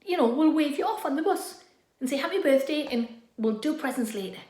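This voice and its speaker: A woman's voice: high-pitched, lively intonation, brisk, dark tone, echoey room, voice pushed up. A woman voicing a busy, affectionate mother of a teenager in a children's story. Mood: rushed, breezy.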